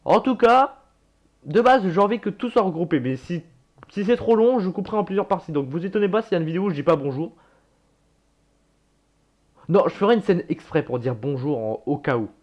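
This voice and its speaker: A man's voice: sits high, lively intonation, fairly quick, pauses often, a little mellow, dull audio. A young man casually reacting to his own old videos, chatty and self-mocking, swearing and laughing at himself.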